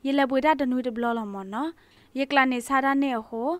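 Female news reader, high register, scripted bulletin delivery, dry studio sound. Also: fairly quick, no echo. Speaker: radio news presenter.

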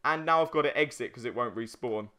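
A man's voice: mid-range voice, fast, bright, forceful tone, smooth. A young man chatting casually as he co-hosts a video game let's play, informal and given to swearing. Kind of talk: casual chat while playing a video game.

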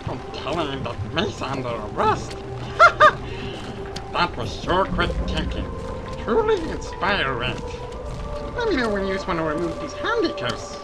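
A man voicing a cowardly, cheerful frog-like alien outcast in a comic dub, rambling excitably in pidgin baby-talk. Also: a little high, a little slow, rough recording. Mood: chatty, oblivious. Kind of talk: comic dub character acting. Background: music.

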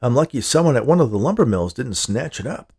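A man voicing a polite, persistent male land buyer in a story, with a calm, folksy, disarming manner. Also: medium pitch, fast, creaky, breathy edge, full character voice. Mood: friendly.

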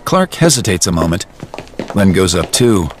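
A male storyteller narrates an audio drama in measured, literary present tense. He sets each detail out descriptively, with faint noise and ambience behind him. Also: deep voice, very animated.

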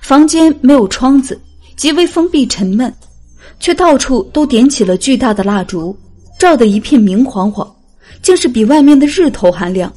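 A woman narrates an audiobook in a high, very soft-toned, mellow voice. Her delivery is dramatic, scripted storytelling. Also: lively intonation, normal speed.

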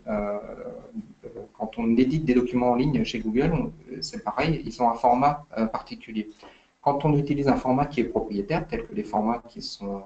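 A man's voice: medium pitch, warm tone, muffled recording. A man giving a webinar talk as invited expert, hesitant, with many fillers and restarts. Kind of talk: webinar explanation.

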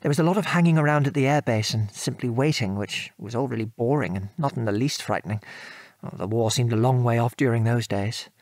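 Guy acting a gentle british father looking back on his air force days, genteel, mid pitch, radio play, no room sound. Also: fairly quick, dry recording, lightly acted. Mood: sober, reflective. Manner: old-fashioned genteel turns of phrase. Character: gentle middle-aged British father, former wartime RAF bomb aimer. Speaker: actor. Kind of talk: radio drama dialogue, reminiscing.

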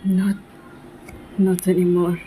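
Woman playing a worried nice young dude in a comic dub, slowish, noisy audio. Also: on the low side, monotone, mellow. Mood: frightened defiance. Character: caring, uneasy young man, reluctant best friend. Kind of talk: amateur comic dub acting.

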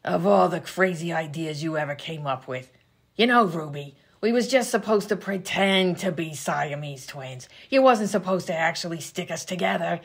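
A woman playing a gruff, bossy 1920s vaudeville comedienne, slangy and sarcastic, in an old-time radio comedy sketch. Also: on the low side, animated, moderate pace, full character voice. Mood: exasperated. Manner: brash, slangy, sarcastic insults.

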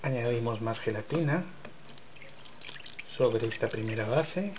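A man's voice: mid-range voice, expressive, measured pace, background noise. A man hosting a home cooking channel, talking viewers through a dessert step by step in his kitchen. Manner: chatty, talks to viewers while working. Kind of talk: casual cooking tutorial.

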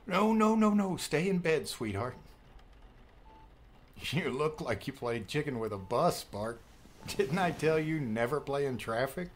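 A man's voice: a little high, animated, a little slow, slight background noise. Man acting protective, wryly teasing father. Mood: warm, teasing. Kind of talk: audiobook drama acting.

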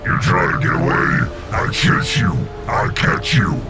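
A man's voice: deep voice, big pitch swings, measured pace. A man playing Satan, a folksy boastful devil. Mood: menacing. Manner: folksy drawling salesman patter. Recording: over loud music score.

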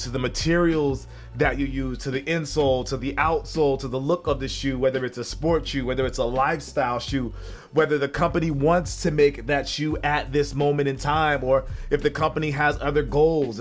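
An upbeat man who has a bit of a cold, chatting casually straight to his viewers as he unboxes sneakers. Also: mid-range voice. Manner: upbeat, rambling, talks to viewers directly.

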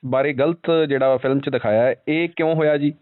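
Fast-talking Punjabi male interviewer, phone-like sound. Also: mid-range voice, steady tone. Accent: Indian Punjabi. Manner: pressing, challenging questions. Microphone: telephone. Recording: tinny phone call recording.

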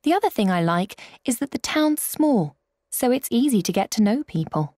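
Sociable, contented female newcomer, played by a woman, lively intonation, textbook audio, dry sound. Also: fairly high pitch, normal speed, no echo. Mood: contented, upbeat. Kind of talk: scripted small talk for an English listening exercise.

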